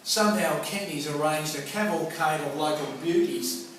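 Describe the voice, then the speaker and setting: A man's voice: on the high side, normal speed, fairly bright tone. A middle-aged Australian man telling a personal anecdote in a colloquial, theatrical way. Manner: colloquial, theatrical, acts out remembered dialogue. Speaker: storyteller. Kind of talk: animated personal anecdote storytelling. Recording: roomy, echoing, noisy distant microphone.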